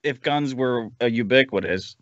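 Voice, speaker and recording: A man's voice: mid-range voice, normal speed, dry recording, highs cut off. A man chatting casually on a podcast in a sardonic, mocking way with plenty of swearing. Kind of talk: casual, profane podcast chat.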